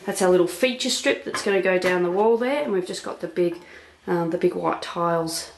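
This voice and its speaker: A woman's voice: fairly low pitch, brisk, gravelly, a little hiss or hum. A woman giving a chatty, upbeat walkthrough of a house renovation, filmed on a handheld camera. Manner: chatty, upbeat, full of fillers. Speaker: property investor renovating a house. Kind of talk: walkthrough vlog narration. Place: empty house under renovation, indoors. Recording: echoey bare rooms.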